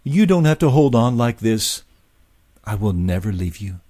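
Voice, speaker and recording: A man doing a gentle, tender master's voice. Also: big pitch swings, moderate pace, no echo. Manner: soft, gentle, low. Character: gentle, tender male teacher, calm and warm. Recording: faint music behind.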